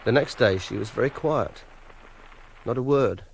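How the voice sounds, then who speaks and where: A man's voice: mid-range voice, normal speed, gravelly, a little hiss or hum, natural voice, rough recording. An actor playing a socially awkward, obsessive young Englishman in a radio drama, hesitant and defensive. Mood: confessional, self-justifying. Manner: awkward, defensive, fussily self-justifying. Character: socially awkward, obsessive young man holding a woman captive. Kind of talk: radio drama acting and first-person narration.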